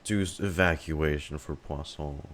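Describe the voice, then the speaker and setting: A man's voice: rumbling low, measured pace, fairly bright tone, slight background noise. A man streaming a video game, giving casual, exasperated reaction commentary full of swearing and muttered asides.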